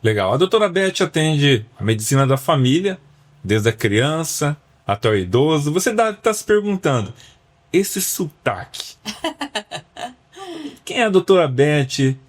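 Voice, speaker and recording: A man's voice: a little high, big pitch swings, unhurried. A man, hosting a podcast interview, asking questions and summing up with friendly tags. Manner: friendly, prompting, sums up with filler tags. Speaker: podcast host.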